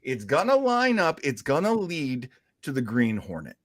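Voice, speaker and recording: A man hosting a radio show, telling stories in a casual, animated and deliberate way with emphatic repetition. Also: mid-range voice, no echo, studio quality. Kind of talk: casual storytelling talk show.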